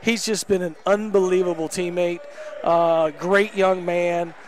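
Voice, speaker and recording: A man's voice: sits high, fairly even intonation, measured pace, a little hiss or hum. A man in his thirties, a head basketball coach, talking candidly after a game on a headset mic in a gym, with lots of fillers. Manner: candid, emphatic, many fillers. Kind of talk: post-game interview. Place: indoor gym court.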